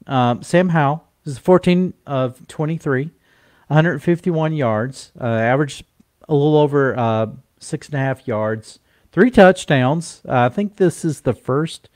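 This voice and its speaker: A man with a stuffy, congested voice from a cold, co-hosting a casual sports chat in a slow, halting way with many fillers and restarts. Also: on the high side, darkish tone, no room sound, clean studio sound. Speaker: podcast co-host.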